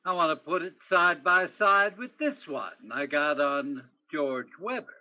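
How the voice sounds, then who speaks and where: A man's voice: fairly high pitch, a little slow, noisy background, lightly acted. A man playing a probing, wry police detective in an old-time radio crime play, with terse, hard-boiled questioning. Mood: businesslike. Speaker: student or guest stage actor in radio play.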